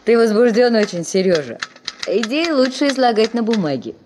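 An actress playing a calm, gently teasing female secretary with a soothing manner, in a 1970s radio drama. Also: fairly high pitch, normal speed, slight background noise, natural voice.

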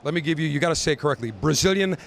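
A man's voice: fairly high pitch, animated, creaky. A middle-aged man hosting a live walkthrough of a trade show, joking and bantering brashly with guests into a handheld mic in a large convention hall. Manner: brash, joking, fast-talking showman. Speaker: radio show host touring a trade show. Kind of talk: live roving interviews and walkthrough. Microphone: handheld interview mic.

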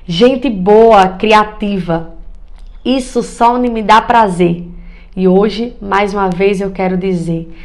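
A young woman with a Northeastern Brazilian accent reciting folk poetry with a smile, in a measured rhythm. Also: mid-range voice, a little mellow. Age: young adult. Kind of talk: expressive cordel poetry recitation. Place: indoor room.

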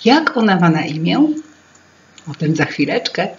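A woman in her fifties narrating a children's fairy tale in an expressive, theatrical, deliberate way. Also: on the low side, dull audio, a little hiss or hum. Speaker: storyteller. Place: indoor room with paintings.

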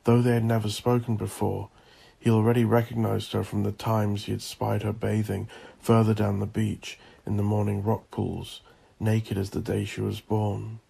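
A man narrating scripted horror fiction in an ominous, deliberate, theatrical way, with a gravelly, husky voice. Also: fairly low pitch, level tone, warm tone.

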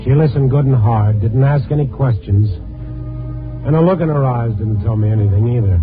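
A man's voice: mid-range voice, a little fast, natural voice. An American man playing a tough, world-weary newspaper reporter in a hardboiled 1950s radio drama. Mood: weary, reflective. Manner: hardboiled, blunt. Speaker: lead actor and narrator. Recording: tinny old 1950s radio recording, music.

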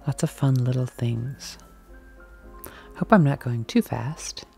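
Mellow-voiced woman browsing a thrift shop. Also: extremely low pitch, slow pace, vocal fry, faint music behind. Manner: chatty, musing aloud, drifting into soft whispers. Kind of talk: casual walk-and-talk commentary. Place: large indoor antique and thrift shop. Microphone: handheld camera mic, filming as she walks.

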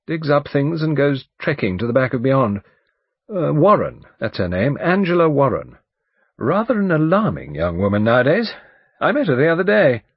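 Man voicing a bluff, overbearing English barrister, swooping pitch. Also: very animated, normal speed, breathy edge, dry recording, muffled recording. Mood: chatty. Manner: breezy, emphatic, chatty with hesitations. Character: bluff, overbearing, urbane English male barrister, hearty and dismissive. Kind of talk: audiobook character dialogue.

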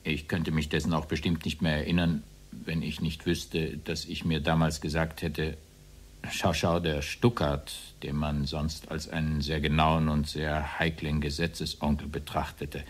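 Give this radio play a very low male voice: an evasive former officer on trial. He answers the judge deferentially, in formal and circuitous phrases. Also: little pitch movement, unhurried, breathy edge, exaggerated voice. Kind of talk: radio play courtroom dialogue.

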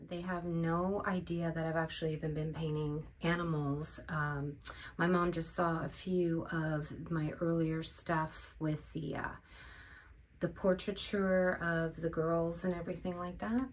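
Woman in her 40s, slow unhurried delivery, groggy morning voice, rambling casual vlog talk from a home studio. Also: low voice. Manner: relaxed, rambling self-talk. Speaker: artist vlogger. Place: small home art studio, indoors.

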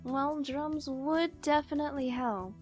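A woman voicing a blunt, sarcastic, excitable teenage girl bandmate in a comic dub. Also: sits high, a little slow, soft background music. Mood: eager.

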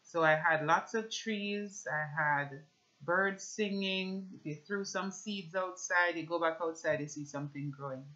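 Jamaican woman doing a faith testimony on a webcam, bright clear-cut voice, kinda dull lo-fi sound. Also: on the low side, measured pace. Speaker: speaker giving a personal religious testimony. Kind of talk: personal testimony with scripture reading, talking to camera. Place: small indoor room at home with shelves.

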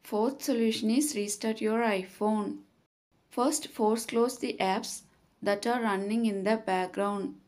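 A woman reading scripted step-by-step tutorial narration in a measured, instructional manner. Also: on the high side, fairly even intonation, darkish tone.

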